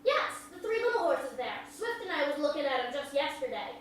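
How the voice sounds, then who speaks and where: A woman's voice: high-pitched, big pitch swings, a little fast. A woman playing an earnest, fierce young country girl in a staged drama, with polite rustic speech. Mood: curious. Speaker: actor in a staged reading. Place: large echoey room at a live reading. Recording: echoey hall, distant mic, faint noise.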